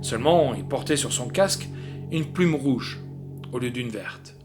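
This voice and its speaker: A man's voice: mid-range voice, lively intonation, unhurried, over music. A man reading literary fiction as an audiobook narrator, in a dramatic storytelling manner.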